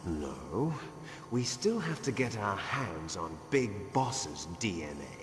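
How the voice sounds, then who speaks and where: A man's voice: deep voice, big pitch swings, slow pace, noisy background, heavily acted. An actor playing an imperious, menacing male terrorist commander in a video game, with a theatrical, taunting delivery. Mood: grim, commanding. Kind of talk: dramatic video game voice acting. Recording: long hall-like reverb. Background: music.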